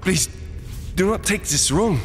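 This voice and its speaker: A man's voice: sits high, animated, unhurried. An actor playing a loyal, anxious servant in an audio drama, deferential and pleading toward his master. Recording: long hall reverb, music underneath.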